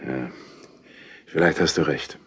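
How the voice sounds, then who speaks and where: Gruff village constable over music. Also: male voice, a bass voice, unhurried. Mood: sober. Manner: blunt, grumbling. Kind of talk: German horror audio drama.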